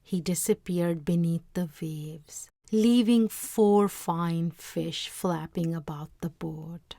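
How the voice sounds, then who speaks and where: A woman reading a story aloud as narrator, with expressive, dramatic phrasing. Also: on the low side, takes it slowly, no room sound, studio quality.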